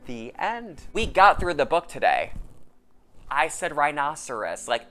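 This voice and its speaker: A woman reading a children's storybook aloud in an animated storytelling style. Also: low voice, a little slow, faint music behind.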